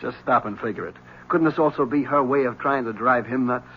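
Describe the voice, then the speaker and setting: Actor as a needling cop, muffled radio drama. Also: male voice, medium pitch, a little fast, lightly acted. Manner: colloquial, teasing, probing. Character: breezy, needling male police lieutenant. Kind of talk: 1970s radio drama acting. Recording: dull, hissy old radio recording.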